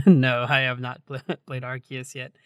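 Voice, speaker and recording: A man with a bright, crisp-edged voice at a middle pitch co-hosts a gaming podcast. He chats casually and tends to chuckle through his words. The recording is close and dry. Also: little pitch movement, a little slow, no echo. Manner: chuckles often as he talks. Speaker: podcast co-host.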